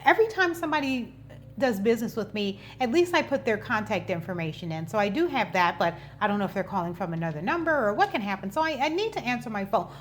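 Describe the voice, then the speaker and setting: A woman in her fifties speaks as a channel host in a conversational explainer style. Her voice sits at a medium pitch and she often repeats words while finding her phrasing. There is a faint hum of noise behind her. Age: 50s. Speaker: channel host and business owner. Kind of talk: informal explainer vlog to camera.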